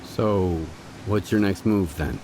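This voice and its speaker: An actor playing a wary male stalker in a video game cutscene, speaking in a measured, skeptical way with dry humor. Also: sits low, reverberant, background noise.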